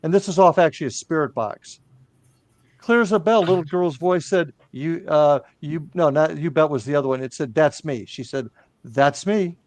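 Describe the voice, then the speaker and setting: Male paranormal team leader, higher voice, animated delivery, dry sound. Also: moderate pace, no room sound. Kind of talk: casual chat.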